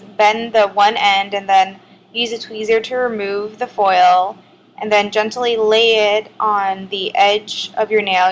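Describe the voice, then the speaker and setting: A woman's voice: mid-range voice, slight background noise, poor audio. A woman in her twenties with a sore throat, chatting casually through a nail tutorial filmed in her bedroom. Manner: chatty, relaxed, filler-heavy. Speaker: beauty vlogger.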